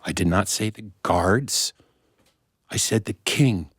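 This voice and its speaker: An older man voicing a wise old advisor who speaks in slow, measured statements. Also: on the low side, husky, dry recording, clean studio sound. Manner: measured, deliberate.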